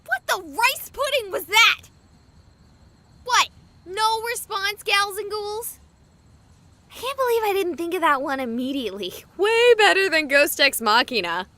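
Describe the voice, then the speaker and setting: A woman playing an excitable, jokey young streamer in a haunted hospital video game, expressive and rambling. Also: very high-pitched, a little slow, a little hiss or hum, exaggerated voice. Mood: flustered. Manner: expressive, rambling, wisecracking to an unseen audience.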